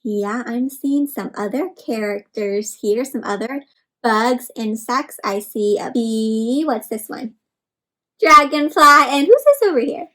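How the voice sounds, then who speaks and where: A woman's voice: a little high, expressive, slow, pushed and bright, rough recording. A woman hosting an interactive read-aloud for children, speaking expressively and instructively and often putting questions to her young listeners.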